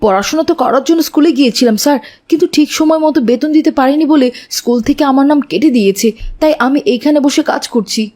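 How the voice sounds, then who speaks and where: Poor shoeshine schoolboy, played by a woman, high-pitched and fast, respectful, plaintive cartoon delivery. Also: mellow, close to an everyday voice. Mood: sad, earnest. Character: poor, polite, hardworking schoolboy shining shoes. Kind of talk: children's moral cartoon dub.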